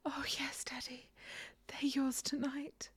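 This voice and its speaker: A woman in an intimate whispered audio roleplay, talking in a breathy, sighing whisper close to the mic. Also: high voice, level tone, slow, dry recording.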